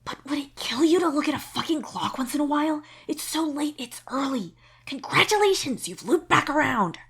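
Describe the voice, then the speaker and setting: An exasperated young man voiced by a woman in an audio story, scolding in a hushed but forceful way. He is sweary and irritable, with obvious care underneath. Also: high voice, expressive, normal speed, pitched above the performer's own voice.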